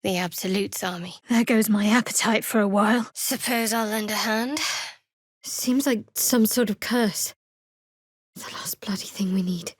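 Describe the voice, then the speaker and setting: A woman's voice: medium pitch, no room sound, natural voice. A woman playing a guarded, sardonic young cleric, close and dry-miked. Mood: watchful, businesslike, then earnest. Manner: dry, wry, measured delivery. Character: guarded, sardonic young female cleric adventurer of a dark goddess. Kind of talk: fantasy video game voice acting.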